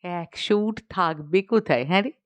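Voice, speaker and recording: A fretful middle-aged queen mother from a storybook, acted out by a woman reader. Her voice sits low and she chides like a nagging, worried parent, exclaiming as she goes. The sound is dry and close. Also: moderate pace, no echo, natural voice. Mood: exasperated. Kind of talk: dramatised storybook reading.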